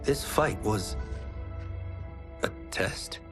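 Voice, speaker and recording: An actor playing an earnest, humble young village fighter in a video game, hesitant and sincere. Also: male voice, lowish voice, expressive, drawn-out words, a bit bright, faint music behind, exaggerated voice. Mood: puzzled. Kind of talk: video game cutscene acting.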